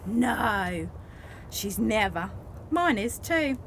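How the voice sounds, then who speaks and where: Woman playing high, excited secretive factory worker. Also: extremely slow, a little hiss or hum, exaggerated voice. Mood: eager. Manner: confiding, eager. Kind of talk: amateur radio play acting.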